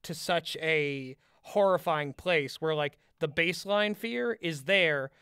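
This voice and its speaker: A man's voice: on the high side, takes it slowly, sharp tone, clear, resonant tone, dry recording, studio quality. A man co-hosting a conversational analytical discussion, thoughtful and articulate in how he builds his ideas. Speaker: podcast co-host and commentator.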